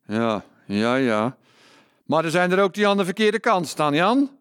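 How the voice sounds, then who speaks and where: A gossipy village farmer in wartime played by a male actor, with a high voice that swings widely in pitch. He talks conspiratorially, as in a radio play, and the recording is close and dry. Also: sing-song, unhurried, a bit bright, clean tone, dry recording. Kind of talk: scripted radio drama dialogue.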